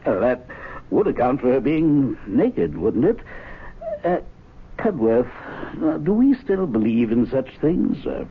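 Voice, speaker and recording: Tinny radio sound, husky voice, fussy credulous gentleman, hesitant, prim, radio drama. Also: mid-range voice, very animated, unhurried. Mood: hesitant, curious. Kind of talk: 1970s radio drama acting. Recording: tinny, narrow old radio broadcast.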